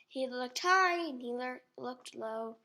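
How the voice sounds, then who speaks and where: Female storybook reader, high pitch, unhurried deliberate delivery aimed at young children. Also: expressive, bright, forceful tone. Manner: deliberate, addresses child listeners. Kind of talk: slow read-aloud for young children. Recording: faint background noise.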